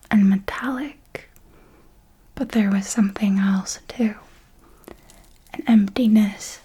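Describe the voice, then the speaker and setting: A woman whispering an ASMR roleplay as a darkly elegant, possessive vampire mentor, telling it in a deliberate, theatrical way. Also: medium pitch, dark tone. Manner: slow, deliberate, theatrical storytelling.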